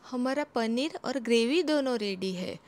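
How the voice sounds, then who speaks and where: Calm woman teaching a home recipe. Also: a little high, measured pace. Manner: calm, instructive, homely guidance. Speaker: home cooking channel host. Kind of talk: step-by-step recipe voice-over.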